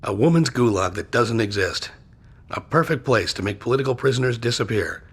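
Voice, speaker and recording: An actor playing a calm, clipped veteran male agent in a game cutscene. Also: mid-range voice, brisk, breathy edge.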